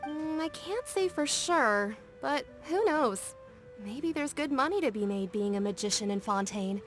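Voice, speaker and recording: High-voiced chatty shopkeeper woman. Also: expressive, measured pace, music in the background. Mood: breezy. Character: friendly, chatty female workshop merchant, practical and modest. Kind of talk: video game voice acting.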